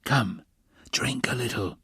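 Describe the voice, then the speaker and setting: A man's voice: medium pitch, big pitch swings, slow, darkish tone, dry recording, clean studio sound, voice pushed up. A man voicing a wily middle-aged investigator in an audiobook, fussily solicitous and slyly mocking, with theatrical, coaxing exclamations. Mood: alarmed, fussing.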